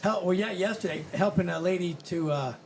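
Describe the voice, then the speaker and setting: A man's voice: high-pitched, a little fast, creaky. A man hosting a casual interview podcast, speaking in a blunt, slangy, informal way. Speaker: podcast host. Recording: noticeable background noise.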